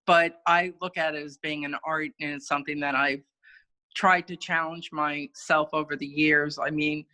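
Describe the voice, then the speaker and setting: A woman's voice: deep voice, flat delivery, moderate pace, pushed and bright. An older woman chatting on a video call, rambling with many fillers. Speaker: guest cookie artist and teacher. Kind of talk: casual video-call chat.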